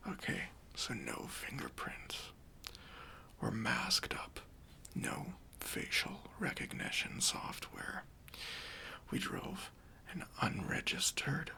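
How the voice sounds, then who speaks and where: A man playing a kindly, reassuring bank robber in a soft, breathy ASMR roleplay, speaking gently and casually to an accomplice. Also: mid-range voice, heavily acted. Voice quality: breathy, near-whispered.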